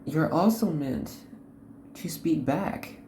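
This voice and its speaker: Female card reader, very deep and mellow, earnest and instructive, halting short phrases in a roomy space. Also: expressive, slight background noise. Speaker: host, tarot card reader. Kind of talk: unscripted tarot reading addressed to the viewer.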